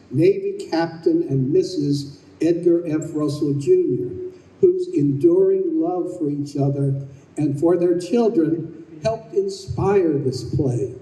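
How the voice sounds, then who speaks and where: Man, playwright-director, prepared speech, slightly high pitch, roomy distant sound. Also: dark tone. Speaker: playwright and director introducing his show. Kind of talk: prepared introductory speech to an audience. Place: convention room, live on stage. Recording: roomy convention hall, distant microphone.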